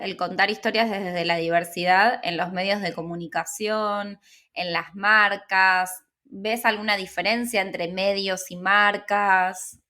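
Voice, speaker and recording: A woman's voice: mid-range voice, pushed and bright. A woman hosting a conversational interview, asking the questions. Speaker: interview host.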